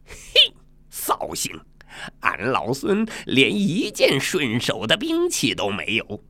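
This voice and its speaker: A brash, mischievous monkey king from a storybook, acted out by a man. His pitch leaps up into excited yelps and drops again, full of cocky giggles and exclamations. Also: takes it slowly, vocal fry, no echo, full character voice. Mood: annoyed, cocky.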